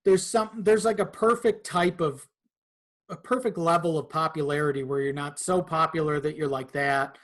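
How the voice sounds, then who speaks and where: A man's voice: on the high side, fairly even intonation, moderate pace, a bit bright. A man chatting casually as a podcast guest, a little hesitant and repeating phrases as he finds his words. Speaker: podcast guest, audio drama creator. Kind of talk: casual chat.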